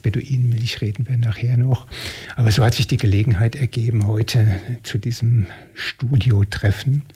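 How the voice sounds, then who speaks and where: A male radio host who introduces his guest and asks calm, measured questions. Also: medium pitch, husky. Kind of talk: radio interview.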